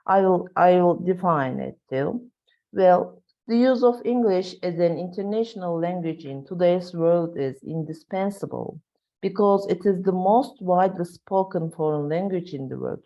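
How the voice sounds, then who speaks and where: A woman from Turkey with a Turkish accent giving a webinar lecture, halting with frequent fillers and asking "right?" to check in with listeners. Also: fairly low pitch, dark tone. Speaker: university lecturer. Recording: dull lo-fi webinar audio.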